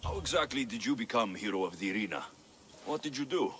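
A man's voice: fairly low pitch, lively intonation, quick pace, fairly bright tone, a little hiss or hum. A man voicing a blunt, wry but helpful mercenary adventurer of ancient Greece in a video game, with dry humour. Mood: curious. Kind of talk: video game character acting.

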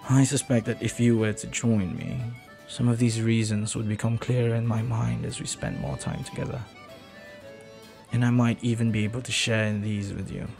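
A man playing a flirty, earnest pirate captain in an intimate audio roleplay, speaking softly and haltingly with tender devotion. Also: fairly low pitch, gravelly, soft background music. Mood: sincere, hopeful. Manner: soft, halting, tender, sometimes near whisper.

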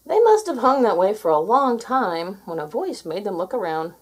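Animated, husky woman reading a storybook. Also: mid-range voice, moderate pace. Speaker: storybook reader. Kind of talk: expressive children's story read aloud.

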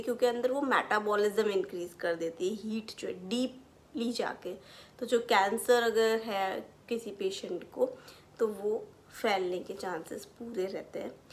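A woman's voice: mid-range voice, a little hiss or hum. A woman in her thirties with an Indian accent, giving an informal explanatory health talk and mixing in English medical terms. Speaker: physiotherapist presenting health advice. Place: small furnished living room, indoors.

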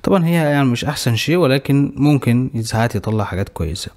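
Fast-talking Egyptian man explaining software. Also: medium pitch, lively intonation. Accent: Egyptian Arabic. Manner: brisk, explanatory, walks through each click. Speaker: tech tutorial host. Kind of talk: screen-recorded software tutorial.

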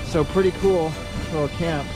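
The guy narrating his own beach fishing vlog, a lightish male voice, slangy and easygoing, with music loud underneath. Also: on the high side, fairly even intonation, normal speed. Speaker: fishing vlogger. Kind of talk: casual outdoor vlog chat. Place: open windy beach, sometimes inside a canopy tent.

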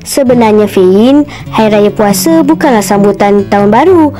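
A woman voicing a sensible, polite teenage big sister in a cartoon, with a gently lecturing manner. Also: medium pitch, moderate pace, faint music behind, natural voice. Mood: explaining. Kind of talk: cartoon voice acting.

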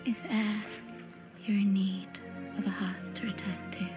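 Slow, hushed, wistful village woman played by an actress. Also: medium pitch, flat delivery, music in the background, close to an everyday voice. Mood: wistful, tender. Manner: hesitant, tender. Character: gentle, wistful young village woman, devoted and shy. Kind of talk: cinematic fantasy game acting, archaic speech.

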